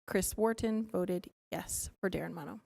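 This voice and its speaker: A soft, mellow woman's voice reads vote tallies at a council meeting. She speaks carefully and formally, name by name. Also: fairly low pitch, moderate pace, gravelly. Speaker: council staff reading votes.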